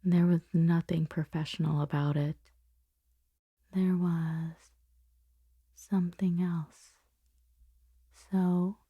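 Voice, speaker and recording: A woman playing a controlled, powerful boss torn by forbidden attraction, in an intimate ASMR roleplay, speaking softly and deliberately with lingering pauses, often dropping to a whisper. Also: fairly low pitch, husky, dry recording, full character voice. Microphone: close, dry microphone.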